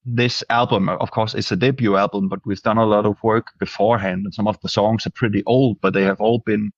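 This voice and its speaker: A man's voice: on the low side, fairly even intonation, a little fast, dry recording, lo-fi sound. A Danish man, a band's singer, answering interview questions hesitantly with many restarts. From Denmark. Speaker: band singer.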